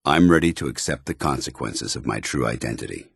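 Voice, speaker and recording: An actor playing a stoic, honour-bound android soldier in a video game, tormented yet earnest, with formal military diction. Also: male voice, extremely low pitch, expressive, fairly quick, gravelly, husky, no room sound, clean studio sound, heavily acted. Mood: grave, resigned. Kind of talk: video game companion dialogue acting.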